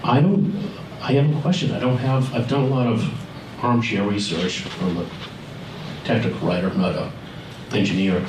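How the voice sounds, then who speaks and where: Man, lowish voice, hesitant questioning comment, roomy hall, background noise. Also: fairly even intonation, normal speed. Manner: tentative, questioning, many fillers. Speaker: resident giving public comment. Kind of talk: public comment at a town meeting. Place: town meeting room. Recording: echoey hall with noise, distant mic.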